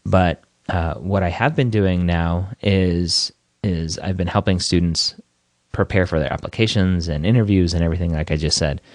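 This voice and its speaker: A man's voice: low voice, steady tone, moderate pace, no echo. A man hosting a podcast, introducing interviews in an upbeat, encouraging way. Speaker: podcast host.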